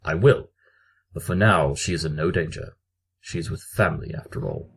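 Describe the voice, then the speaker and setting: Brilliant cartoon-pony detective, male actor: deep, formal, dramatic, excitable, built for audio drama exposition. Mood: assured, explaining. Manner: theatrical, formal, deliberate exposition. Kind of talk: scripted audio drama acting.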